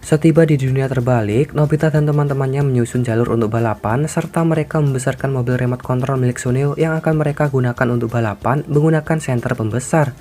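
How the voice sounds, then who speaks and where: A man retells a cartoon plot in a casual, scripted narration at an ordinary middle pitch. He talks easily and informally, tossing friendly asides to his viewers. Soft music plays quietly beneath his voice. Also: quick pace, few pauses. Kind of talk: casual scripted story recap.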